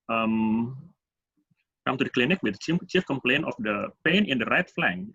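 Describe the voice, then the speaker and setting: Halting Indonesian-accented man, dull webinar sound. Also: mid-range voice, fairly bright tone. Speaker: urologist presenting at a medical webinar. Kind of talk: medical lecture presentation. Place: online webinar. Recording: dull, roomy webinar audio.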